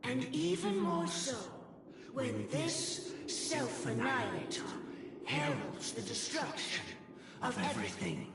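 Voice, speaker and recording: A woman playing a solemn, imperious figure who passes judgment in measured, formal, portentous speech in a dramatic game scene. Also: on the high side, big pitch swings, noisy background, exaggerated voice. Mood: solemn, commanding. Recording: echoey voice under loud music.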